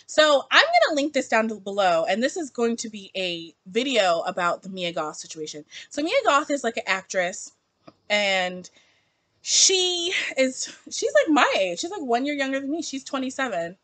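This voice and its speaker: A woman in her late twenties talks straight to camera in an opinion vlog. Her voice is bright and a bit high, and it leaps up and down in pitch. She is emphatic and peppers everything with "like.". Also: moderate pace. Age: 20s. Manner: animated, emphatic, heavy use of "like". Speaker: book vlogger.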